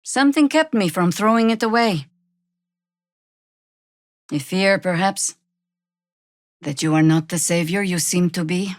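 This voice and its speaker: A middle-aged woman playing a seasoned, wry druid warrior in a fantasy video game, speaking in a measured, dry, formal way. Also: lowish voice, no room sound, lightly acted. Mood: guarded, solemn. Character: seasoned, wry female druid warrior, weary but resolute.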